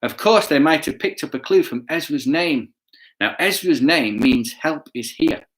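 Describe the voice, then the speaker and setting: Animated man telling a children's story. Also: on the high side, a little slow, poor audio. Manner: playful, expressive, asking listeners questions. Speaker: children's bedtime story reader. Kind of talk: children's storytelling read aloud with chatty asides.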